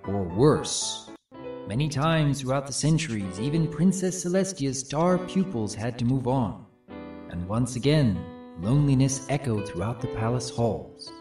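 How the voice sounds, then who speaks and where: A man's voice: medium pitch, sing-song, a little slow. A man narrating and commenting from a script as host, in a theatrical storyteller's manner. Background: background music.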